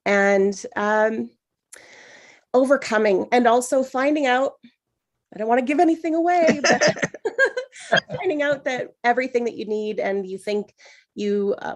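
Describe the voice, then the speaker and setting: A woman's voice: medium pitch, creaky. A woman introducing herself as a performer and chatting casually. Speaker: table read performer.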